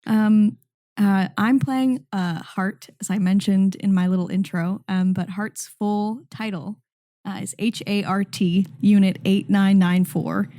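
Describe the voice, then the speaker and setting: A woman's voice: mid-range voice, steady tone, unhurried, dark tone. A woman in casual conversation as a podcast player, introducing her tabletop role-playing character.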